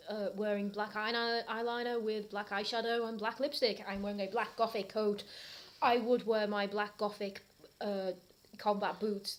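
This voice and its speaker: A British woman co-hosting a comedy reading show, talking casually to camera with mock seriousness. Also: mid-range voice.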